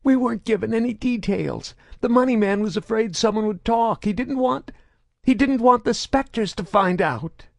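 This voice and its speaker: A man voicing a terrified, crippled male alien mercenary who begs in a high-pitched mewling whine and stammers in desperation. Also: normal speed, vocal fry, no room sound, clean studio sound. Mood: fearful.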